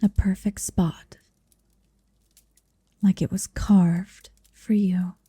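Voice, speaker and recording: Woman as a slow, breathy, possessive mother dragon. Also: medium pitch, level tone, dark tone, no room sound, heavily acted. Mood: possessive, teasing. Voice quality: breathy, whispery. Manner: slow, deliberate, intimate. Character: possessive, seductive motherly female dragon hoarding her captive. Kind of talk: ASMR roleplay. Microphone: close microphone, dry.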